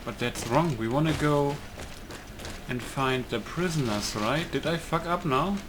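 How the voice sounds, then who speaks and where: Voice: mid-range voice, a little slow. Sweary gamer commentating over noisy gameplay. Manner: sweary, thinking aloud. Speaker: gaming video host, player. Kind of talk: casual let's play commentary. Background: video game sounds.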